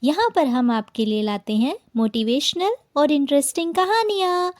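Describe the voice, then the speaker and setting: Very high, lively female announcer. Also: normal speed, no echo, clean studio sound. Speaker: channel announcer. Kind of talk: scripted channel intro and outro.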